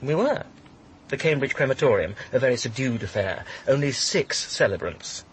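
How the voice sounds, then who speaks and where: A man's voice: mid-range voice, lively intonation, normal speed, slight background noise, close to an everyday voice. A man with a British accent playing a flippant, supercilious young student in a radio drama, arch and witty. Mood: wry. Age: young adult.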